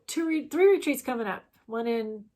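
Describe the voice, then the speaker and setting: A woman's voice: fairly high pitch, animated, moderate pace, mellow. A woman, co-hosting a casual stitching chat, rambling and chatty with plenty of fillers. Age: 40s. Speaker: co-host.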